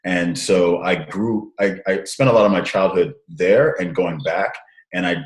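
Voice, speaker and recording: A man in his thirties talking in a casual interview over a call, rambling with restarts and growing animated as he tells stories. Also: low voice, measured pace, reverberant, poor audio. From Nigeria and Canada, raised partly in the US. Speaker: guest, social impact entrepreneur. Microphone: call recording.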